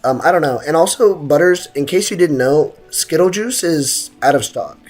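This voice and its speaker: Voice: mid-range voice, lively intonation, normal speed, darkish tone, slightly rough. A game streamer chatting casually with viewers in a slangy, joking, mock-boastful way. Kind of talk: casual gaming livestream commentary, chatting with viewers.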